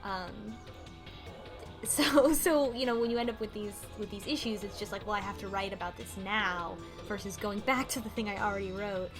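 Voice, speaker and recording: A woman's voice: medium pitch, moderate pace. A woman co-hosting a podcast, casually recapping a game and voicing characters' lines in passing. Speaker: podcast co-host. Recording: music playing behind the talk.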